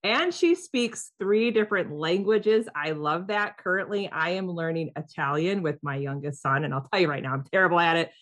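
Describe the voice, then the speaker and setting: Female podcast host, lower-pitched, chatty and upbeat. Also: animated, normal speed, few pauses, a bit bright. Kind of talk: podcast interview.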